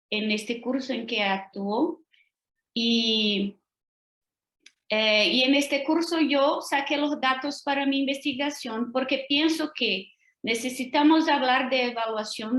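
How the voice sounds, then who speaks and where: A woman from Brazil whose first language is Portuguese, giving an academic lecture over a video call with a Brazilian Portuguese accent and careful pacing, often checking in with tag questions. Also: sits high. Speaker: guest lecturer. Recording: roomy video call audio.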